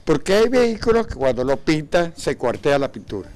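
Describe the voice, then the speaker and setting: Chatty Venezuelan man interviewing, higher-pitched voice. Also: big pitch swings, a little fast, a little mellow. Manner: chatty, inquisitive. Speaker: TV host and interviewer. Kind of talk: informal on-location interview.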